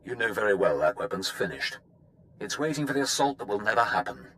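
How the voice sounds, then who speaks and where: An eccentric army weapons expert from a video game. His low voice swings widely and theatrically, with a bright, cutting edge. He sounds wry and put-upon, over faint background noise. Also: sing-song, quick pace. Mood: wistful. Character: eccentric military weapons master, wry, aggrieved, proud tinkerer. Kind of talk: video game character dialogue.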